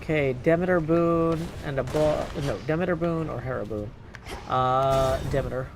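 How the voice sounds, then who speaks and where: Male game streamer, medium pitch, offhand running commentary, talking choices through to himself, noticeable background game sound. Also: normal speed. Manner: thinking aloud, muttering decisions to himself. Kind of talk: casual live gameplay commentary. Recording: clear background game noise.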